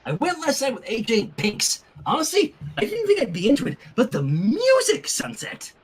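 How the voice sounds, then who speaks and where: Here is a narrator doing a brash, giddy teenage girl athlete from a cartoon fan story. The voice leaps up and down excitedly, a little husky, gushing in casual slang and blurting out blunt remarks. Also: sits high, moderate pace, pitched above the performer's own voice, heavily acted.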